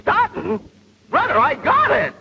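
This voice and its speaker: A brash young-rookie type of bomber gunner in a 1940s animated training film. He is uneducated and slangy, swinging from puzzlement to bragging with hugely varied pitch. The old soundtrack is dull, with some noise. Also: a little slow. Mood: cocky, excited. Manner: slangy, ungrammatical, hesitant then boastful.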